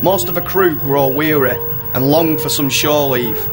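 A man's voice: a little high, a little slow, music in the background, natural voice. A man playing a pompous, lecherous starship captain in a comedy radio play, grandiose but chummy. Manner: grandiose narrating, slipping into chummy banter.

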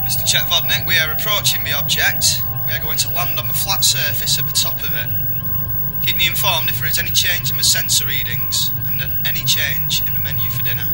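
A man's voice: a little high, brisk, close to an everyday voice, rough recording. A man playing a cocky, crude, impulsive starship captain with a swaggering, blustering manner in a comedy radio play. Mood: bossy, flippant. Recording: music behind the voice.

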